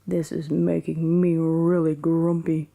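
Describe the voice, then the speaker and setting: A woman's voice: deep voice, level tone, slow, vocal fry, warm tone, exaggerated voice. A woman voicing a big grumpy papa bear in a whispered bedtime storybook reading.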